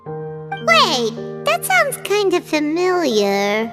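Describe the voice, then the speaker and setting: A woman voicing a small, bubbly, excitable female companion in a game cutscene. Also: very high-pitched, extremely slow, heavily acted. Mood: chirpy. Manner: chirpy, excitable. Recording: reverberant game mix with loud music.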